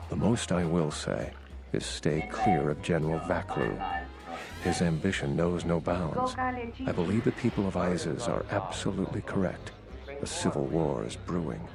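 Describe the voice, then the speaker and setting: Grave, guarded game politician, very low and gravelly, formal, measured delivery. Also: male voice. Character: earnest, guarded political insider fearing civil war. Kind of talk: video game character dialogue.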